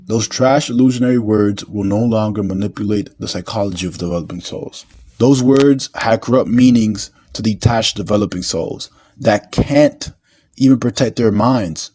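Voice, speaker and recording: A man's voice: lowish voice, a little mellow. A man delivering an impassioned opinion monologue as an online commentator, preachy and emphatic, repeating himself for weight.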